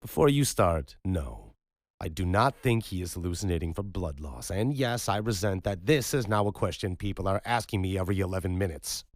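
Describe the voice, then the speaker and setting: A man's voice: low-pitched, animated, brisk, no room sound, studio quality, pitched above the performer's own voice. A man voicing a blunt, irritable hospital doctor in an audiobook, with a curt, sardonic, emphatic manner. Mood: irritated, sardonic.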